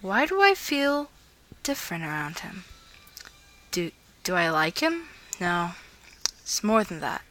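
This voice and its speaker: A woman with a bright, sharp-edged voice hosts a casual reading video. She sounds informal and giggly, moving between loose vlog chat and amateur read-aloud storytelling. Words sometimes trip her up as she goes. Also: on the low side, very animated, measured pace, long pauses. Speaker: host of a fan fiction reading video.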